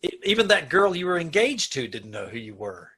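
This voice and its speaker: A man acts out an earnest, decent prison warden in an old-time radio play. His voice sits slightly high for a man and is bright and forceful. He speaks with deliberate emphasis, as if appealing to a condemned prisoner. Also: sing-song, husky, full character voice. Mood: baffled. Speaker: amateur radio-troupe actor.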